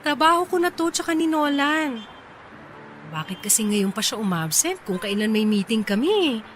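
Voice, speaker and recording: An actress playing a proud, easily irritated but bashful young office boss in a Filipino radio drama, with a Filipino accent. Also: female voice, fairly high pitch, animated, moderate pace, a bit bright, slight background noise. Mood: scolding, fretful. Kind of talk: radio drama acting in Taglish.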